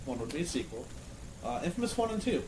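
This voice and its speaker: A man thinking aloud in halting phrases during a casual podcast chat with friends. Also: a little high, big pitch swings, a little mellow. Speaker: podcast panelist. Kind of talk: casual round-table chat among friends. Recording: roomy echo, faint background noise.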